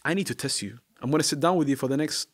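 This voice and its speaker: A man's voice: mid-range voice, very fast. A man who teaches the Quran, speaking as a podcast guest in an emphatic, argumentative way full of rhetorical questions. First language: not Arabic. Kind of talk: podcast conversation.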